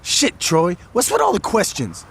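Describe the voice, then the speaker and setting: An actor playing a calm, strategic gang planner who speaks in a dry, sarcastic, deliberate way in video game cutscenes. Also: male voice, sits high, creaky, husky, full character voice.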